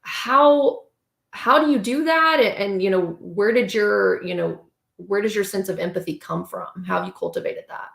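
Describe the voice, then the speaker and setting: A woman's voice: mid-range voice, moderate pace, creaky, a bit bright, reverberant. A woman hosting an interview, posing long questions that are framed with "you know" and many short agreeing interjections. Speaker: podcast host.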